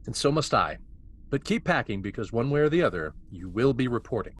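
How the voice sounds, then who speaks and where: Obsessive military scientist, male. Arrogant, condescending, very animated pitch. Man acting at a table read. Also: brisk, a little hiss or hum, lightly acted. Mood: cold, commanding. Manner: dramatic, condescending.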